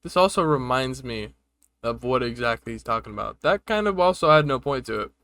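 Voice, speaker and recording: A man's voice: mid-range voice, expressive, a little fast, fairly bright tone. A man hosting a reaction video, commenting casually and informally in a puzzled manner.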